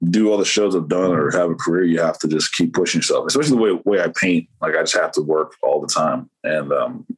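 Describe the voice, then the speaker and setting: A man's voice: low voice, fast talker, husky, poor audio. A man, a painter, talking casually as an interview guest, rambling with lots of fillers and restarts.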